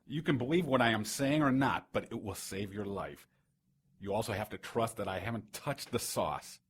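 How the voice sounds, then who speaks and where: An actor playing a tough, scolding 1930s boss in a noir radio drama, blunt, slangy and world-weary. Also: male voice, fairly low pitch, lively intonation, normal speed, husky. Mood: grave.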